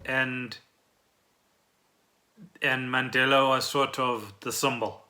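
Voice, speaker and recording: A man's voice: mid-range voice, fairly even intonation, slow, bright, forceful tone. A middle-aged South African man co-hosting a podcast, chatting casually and asking questions. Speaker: podcast co-host.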